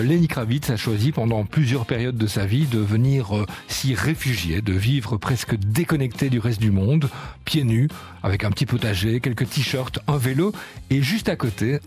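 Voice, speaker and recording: A man's voice: medium pitch, expressive, moderate pace, a little mellow. A man hosting a casual radio segment, telling a musician's story in a chatty way with frequent fillers. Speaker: radio show host.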